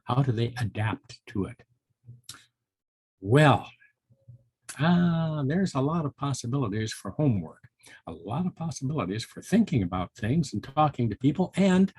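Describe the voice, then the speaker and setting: An older man with a husky voice, reading a storybook and chatting to young children. He is folksy and musing, and the recording is close and dry. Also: medium pitch, a little mellow, dry recording. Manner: folksy, musing, with interjections like hmm and well. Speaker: volunteer storybook reader for children.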